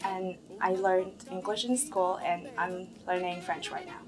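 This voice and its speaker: This is a young woman from southern China whose first language is Cantonese, speaking about her studies in a documentary interview. She talks animatedly, hesitating now and then with fillers. Soft music plays faintly behind her. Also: on the low side, fairly even intonation, measured pace, rough recording. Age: young adult. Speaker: first-year student.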